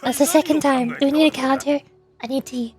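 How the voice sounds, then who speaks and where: A woman's voice: high-pitched, fairly even intonation, a little fast, darkish tone, soft background music. A woman livestreamer chatting casually in a playful, sleepy manner. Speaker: VTuber streamer voice-acting game dialogue.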